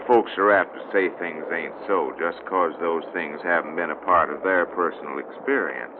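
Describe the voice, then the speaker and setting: Through a muffled, noisy vintage radio recording comes an easygoing detective with an extremely low voice. He talks in a folksy, laconic American way, unhurried and amiable. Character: easygoing drawling male police detective, quietly sweet-talking. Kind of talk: 1930s radio acting.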